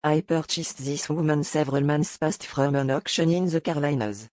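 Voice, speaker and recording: A smug slave dealer from a historical romance audiobook, acted by a woman, speaks in a lowered voice. He is formal and coldly courteous, with a condescending menace under his manners. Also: steady tone, moderate pace, a little mellow, no room sound, natural voice.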